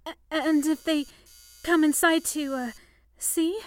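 A woman's voice: high voice, fairly even intonation, takes it slowly. A woman playing a polite, uneasy young telephone operator in an old-time radio play. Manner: polite, professional telephone phrasing.

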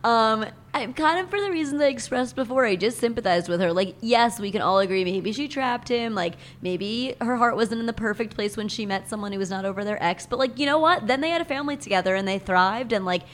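A soft-spoken woman co-hosting a book podcast, speaking quietly in casual chat. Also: a little fast, few pauses. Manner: soft-spoken, low-key. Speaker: podcast co-host.

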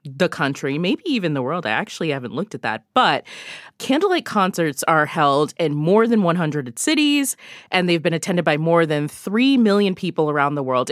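A woman's voice: fairly low pitch, dry recording, clean studio sound. A woman recommending events as a guest, chatty, often saying "like" and "you know". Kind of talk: casual chat.

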